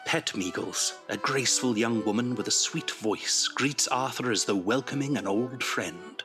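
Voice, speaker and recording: A man's voice: mid-range voice, unhurried, music in the background, close to an everyday voice. A man giving scripted dramatic narration as the narrator of an audio drama.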